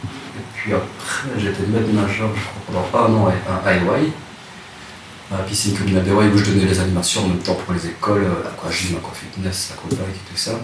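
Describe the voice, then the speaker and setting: A man, telling his life story in a relaxed interview, hesitant and soft-spoken with many restarts. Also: deep voice, a little fast. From Rwanda. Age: 30s. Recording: echoey room, distant mic, noisy.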